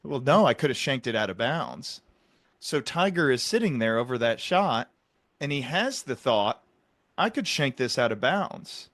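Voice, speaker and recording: A man's voice: medium pitch, big pitch swings, measured pace, fairly bright tone, dry recording. A man speaking as an interview host, retelling an example in conversation.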